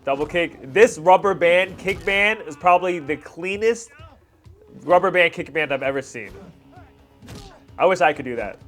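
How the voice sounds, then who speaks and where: A man's voice: sits high, lively intonation, normal speed, long pauses, a bit bright, faint music behind. A man hosting a reaction video, giving casual, slangy fan commentary on fight scenes. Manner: informal slangy fan talk.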